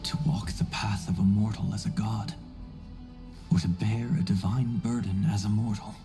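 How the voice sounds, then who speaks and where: A pensive, reverent man from a video game, with a lowish voice and formal, poetic phrasing, set over clear background music. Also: steady tone, moderate pace. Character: thoughtful, reverent, philosophical male onlooker.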